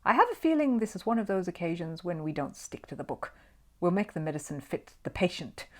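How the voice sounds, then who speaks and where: A woman voicing a brisk, distinguished male surgeon in a children's storybook, gruff and clipped, with dry humour. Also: lowish voice, lively intonation, a little mellow. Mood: sly. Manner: brisk, clipped, dryly humorous.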